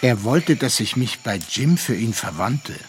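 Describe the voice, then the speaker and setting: An actor playing a composed gentleman who recalls a journey in measured, literary radio-play narration. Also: mid-range voice, lively intonation, noisy background, close to an everyday voice. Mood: dry, recounting. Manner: measured, articulate, descriptive. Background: music.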